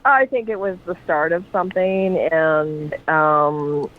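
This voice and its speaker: A woman guest answering in a slow, halting, hesitant way with many pauses. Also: low voice, steady tone. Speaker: guest, widow. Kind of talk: casual interview talk. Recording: tinny phone line.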